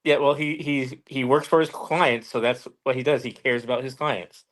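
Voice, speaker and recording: A man's voice: mid-range voice, steady tone, moderate pace, fairly bright tone. A man as an interview guest, chatting casually and telling stories in a plain-spoken way with short simple answers. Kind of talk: casual storytelling chat.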